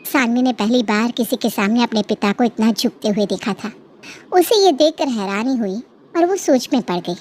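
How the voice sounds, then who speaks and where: A woman's voice: a little high, expressive, normal speed, darkish tone. A woman narrator reading a scripted family story.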